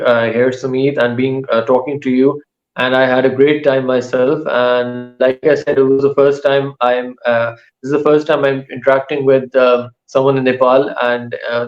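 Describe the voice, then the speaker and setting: A male author, whose first languages are Urdu and Saraiki, giving casual interview answers in a thoughtful way that pauses often mid-sentence. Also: medium pitch, muffled recording. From Pakistan. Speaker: author and writing teacher.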